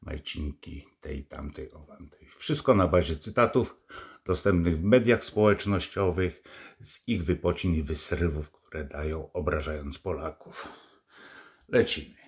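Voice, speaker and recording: A man's voice: a bass voice, a little slow. An older man vlogging from his living room to a close camera, scolding and mocking in a sarcastic tone. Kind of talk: angry political commentary to camera.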